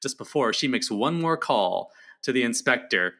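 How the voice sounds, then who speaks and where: A man with a bright, forceful voice talks through a film in loose, casual chat. He gets animated, laughs often and jumps up high to act out lines. His pitch sits in an ordinary middle range. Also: normal speed. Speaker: podcast guest, film critic.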